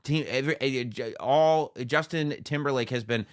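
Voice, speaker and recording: A man's voice: medium pitch, normal speed, clean tone, no echo. Emphatic American man, dry close sound. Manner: emphatic, repeats words for effect. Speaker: podcast co-host. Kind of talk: casual opinionated banter.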